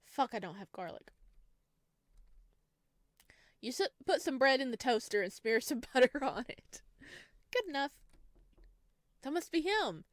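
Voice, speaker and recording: Expressive woman narrating a game, dry close sound. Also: high-pitched, unhurried, long pauses, no echo. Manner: giggly, gushing, reacts with exclamations. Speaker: gaming video host. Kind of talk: visual novel let's play, reading text aloud with excited reactions.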